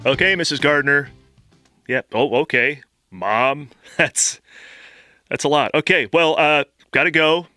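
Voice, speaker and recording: A man's voice: fairly high pitch, unhurried, bright, forceful tone, faint music behind. A man playing a squeamish, childish boyfriend in a comedy radio sketch, flustered and stammering as he bickers. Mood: casual griping. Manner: stammering, flustered, repeats words.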